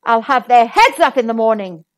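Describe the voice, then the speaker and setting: A woman's voice: on the high side, lively intonation, moderate pace, lightly acted. A woman voicing a proud, short-tempered king in a storybook, bellowing and imperious. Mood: furious, commanding.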